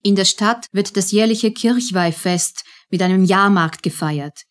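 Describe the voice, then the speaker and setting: A woman's voice: medium pitch, unhurried. A woman reading scripted narration as the narrator of a radio drama.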